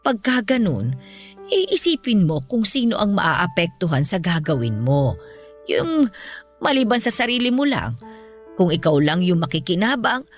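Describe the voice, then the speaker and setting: A woman playing a gentle, principled ailing mother in a Filipino radio-style drama, speaking with earnest, patient counsel. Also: lowish voice, very animated, unhurried. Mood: earnest, firm. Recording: music bed under the voice.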